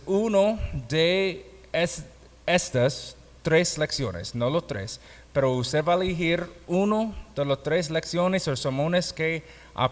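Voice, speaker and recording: Male pastor-teacher, non-native speaker with foreign accent, unhurried and halting classroom delivery, reverberant hall recording. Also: a little high. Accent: foreign, non-native Spanish. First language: not Spanish. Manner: halting, learner's grammar slips. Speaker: pastor and Bible course teacher. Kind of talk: classroom lecture, explaining a course. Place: classroom or hall with students present. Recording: echoey hall with long reverb.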